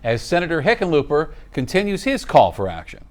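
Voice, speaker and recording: A man's voice: a little high, very animated, brisk, darkish tone. An American man anchoring a television newscast in a broadcast news style. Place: TV studio.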